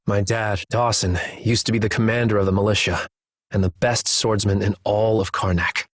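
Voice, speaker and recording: Low, muffled-edged somber young man, played by an actor. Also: fairly even intonation, moderate pace, dry recording, clean studio sound, lightly acted. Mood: somber, bitter. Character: somber young man haunted by family tragedy. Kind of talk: video game cutscene voice acting.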